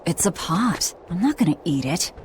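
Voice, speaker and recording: A woman's voice: on the low side, sing-song, moderate pace, a little mellow, slight background noise. A woman playing a hard-edged, sardonic young female fighter, blunt and dismissive, in an anime-style game cutscene. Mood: wry. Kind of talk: English-dubbed anime game cutscene acting.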